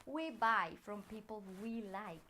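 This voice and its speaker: A woman's voice: medium pitch, expressive, a little slow, bright, forceful tone, slight background noise. A woman from Colombia with a Colombian accent and native Spanish, speaking as a personal branding coach in an encouraging, earnest motivational talk straight to the listener.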